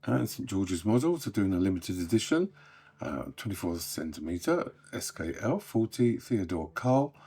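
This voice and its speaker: A middle-aged man with a very low, croaky voice, still getting over an illness. He runs through hobby news in an easy, chatty way and lets his sentences trail off quietly. Faint guitar music plays behind him. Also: very animated, normal speed. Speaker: host. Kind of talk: casual scripted hobby news update.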